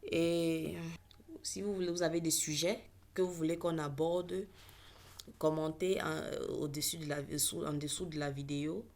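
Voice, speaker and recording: A woman's voice: low-pitched, steady tone, normal speed, darkish tone. A woman who speaks English natively, teaching trading in a casual online lesson with many fillers and self-corrections.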